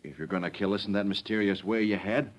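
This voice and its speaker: An actor playing a shifty, menacing crooked deputy in a 1930s radio western, with a husky voice and rough folksy speech. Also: male voice, fairly low pitch, fast talker, lightly acted. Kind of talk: 1930s radio drama acting.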